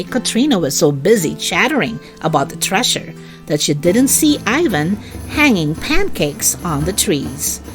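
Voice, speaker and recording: A woman reading a story aloud to children in an expressive, deliberate, child-directed way. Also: on the low side.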